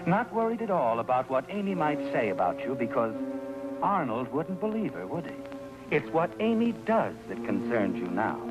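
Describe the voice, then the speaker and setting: A man's voice: medium pitch, big pitch swings, normal speed, natural voice, rough recording. An actor playing a sinister, all-knowing male narrator who tauntingly addresses a guilty schemer in a 1950s suspense show. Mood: knowing, ominous. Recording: 1950s TV soundtrack, loud music behind.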